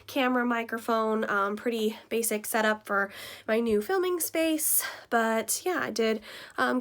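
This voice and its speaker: A woman talking informally to her viewers, explaining things in a casual, conversational behind-the-scenes vlog style. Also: on the high side. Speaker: ASMR video creator and vlogger.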